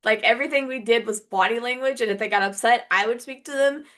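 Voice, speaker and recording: A woman's voice: on the high side, fast talker, fairly bright tone. A woman co-hosting a casual podcast, rambling chattily with many fillers. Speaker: podcast co-host.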